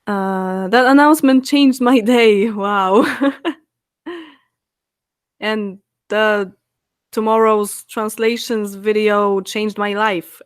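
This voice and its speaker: A Polish woman in her twenties chatting on a live stream, answering viewers' questions with frequent laughs and halting fillers. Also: mid-range voice, no echo, clean studio sound. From Poland. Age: 20s. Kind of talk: casual live stream chat.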